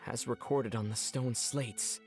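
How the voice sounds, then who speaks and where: An actor playing a thoughtful, serious man in a video game, speaking in a measured, articulate way. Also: mid-range voice, fairly even intonation, lightly acted. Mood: grave, pondering. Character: thoughtful, grave man piecing together a prophecy. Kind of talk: scripted video game dialogue. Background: game music.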